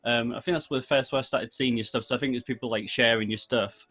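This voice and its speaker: British male podcast host, mid-pitched voice, rambling and jokey in loose, casual conversation. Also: fairly even intonation, fast, a bit bright. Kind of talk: casual chat.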